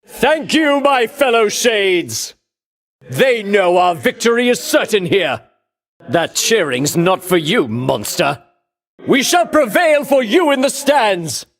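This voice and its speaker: An actor playing a pompous, vainglorious champion warrior in a video game, declaiming grandly and scornfully in archaic heroic language. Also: male voice, extremely high pitch, fairly bright tone, heavily acted. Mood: exultant, proud. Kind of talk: theatrical video game battle lines.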